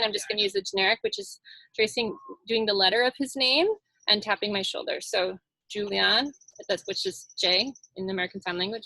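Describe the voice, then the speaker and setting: A woman's voice: mid-range voice, gravelly, bright, forceful tone, poor audio. A woman leading a children's sign language storytime, demonstrating and explaining as she goes. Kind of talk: sign language storytime lesson with singing and read-aloud.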